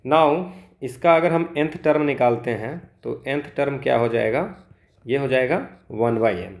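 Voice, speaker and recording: A man's voice: mid-range voice, a little slow, vocal fry. An Indian man teaching as a maths exam tutor, explaining solved problems step by step and mixing Hindi with English terms. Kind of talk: instructional walkthrough of solved problems.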